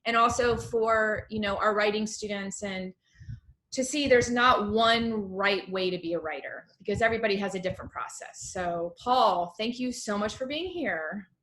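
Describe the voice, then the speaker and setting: A woman's voice: mid-range voice, normal speed, fairly bright tone, rough recording. Woman hosting a casual interview. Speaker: host, interviewer.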